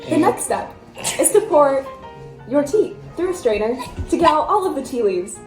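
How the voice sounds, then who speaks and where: A young woman with a high, animated voice gives step-by-step tutorial instructions. Her recording is echoey, with faint music behind. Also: normal speed. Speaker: tutorial presenter. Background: faint background music.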